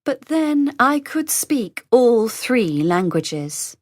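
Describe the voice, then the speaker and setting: Woman, lesson dialogue reader: unhurried, pitch rising and falling a lot, dry close sound. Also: very animated, no room sound. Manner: slow, carefully enunciated, lines read three times over. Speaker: dialogue reader for English learners. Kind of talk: scripted lesson dialogue, each line repeated.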